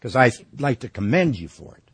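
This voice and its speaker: A man from the audience asking a halting, rambling question at a public forum. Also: medium pitch, sing-song. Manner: halting, rambling, with many fillers.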